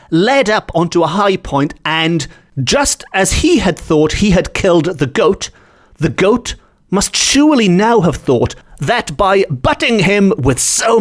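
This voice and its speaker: A man from Wales hosting a storytelling podcast, retelling a folk tale conversationally with chatty asides, repeated phrases for emphasis and self-corrections. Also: high-pitched.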